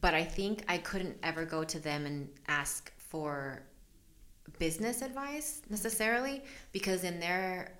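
A woman's voice: on the low side, measured pace, a bit bright. A woman from El Salvador, a business owner, talking candidly in an interview. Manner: candid, chatty, laughs often. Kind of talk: casual interview.

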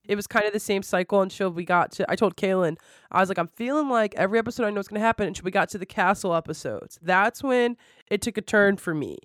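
Fast-talking woman in casual chat. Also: medium pitch, no room sound, clean studio sound. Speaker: podcast co-host recapping an anime.

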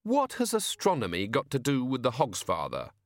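A man voicing an exasperated, sharp-tongued young woman in an audiobook, challenging and indignant. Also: medium pitch, huge pitch swings, normal speed, no echo, studio quality, voice pushed up.

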